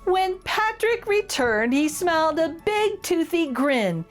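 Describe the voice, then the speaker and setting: High, lively woman reading to kids. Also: slow, faint music behind. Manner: warm, exclamatory, asks children questions. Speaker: children's storytime reader. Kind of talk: children's picture book read-aloud.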